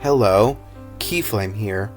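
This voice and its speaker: A man talking casually through a movie analysis, animated and exasperated, tripping over his words now and then while his voice recovers. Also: lowish voice, takes it slowly, faint music behind. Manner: animated, exasperated, rambling with self-corrections. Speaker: video essayist.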